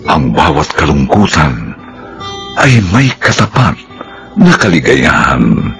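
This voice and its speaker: A man's voice: rumbling low, big pitch swings, takes it slowly, a bit bright, faint music behind. A man with a Filipino accent doing solemn, theatrical scripted announcing for a radio drama. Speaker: drama narrator, announcer and director.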